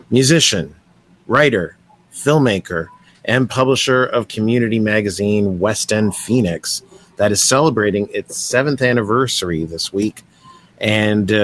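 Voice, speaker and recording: A man with a fairly low voice hosts a radio talk show. He reels off the guest's credits at a brisk, cheerful clip. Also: a bit bright.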